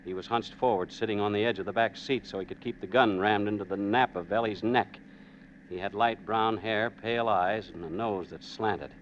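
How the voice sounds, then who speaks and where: An actor playing a steady middle-aged husband and father in a vintage radio suspense drama, on a dull, hissy old recording. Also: on the low side, moderate pace, natural voice. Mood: tense narration. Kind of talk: 1940s-50s radio suspense acting.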